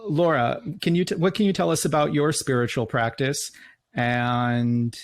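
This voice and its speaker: A man's voice: medium pitch, steady tone, a little slow. A man hosting a casual chat, greeting the circle and asking questions that he sometimes stumbles over. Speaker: host.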